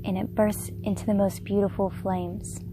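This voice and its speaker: A woman leading a guided meditation in a gentle, unhurried, soft-spoken way. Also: mid-range voice, little pitch movement, noisy background. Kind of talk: guided meditation, slow soothing narration.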